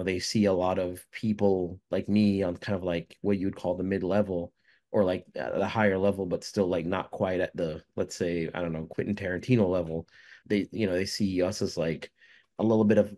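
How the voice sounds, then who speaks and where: Deep-voiced guy from jamaica, loose and rambly, lots of "you know" and restarts. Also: fairly even intonation, fairly quick, dark tone. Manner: rambling, many fillers and restarts. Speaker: filmmaker guest. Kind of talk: casual interview answers.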